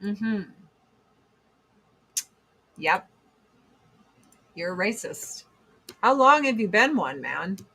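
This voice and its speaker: A woman in her forties with a deep voice, chatting bluntly and profanely on a casual livestream while doing her makeup. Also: sing-song, very slow, long pauses, sharp tone, slightly rough, rough recording. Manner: blunt, profane, sarcastic, reads chat comments aloud. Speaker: livestream host.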